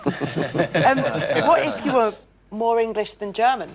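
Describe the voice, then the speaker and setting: A woman hosting a lively panel conversation and presenting results, with a teasing manner and frequent laughter. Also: a little high, takes it slowly, a loud crowd. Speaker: host presenting DNA results.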